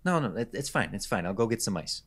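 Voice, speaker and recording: A man playing a jittery, anxious young husband in a horror radio drama, speaking haltingly. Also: lowish voice, sing-song, fairly bright tone. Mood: hurried. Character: jittery, nightmare-plagued young husband on honeymoon. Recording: clearly noisy background ambience.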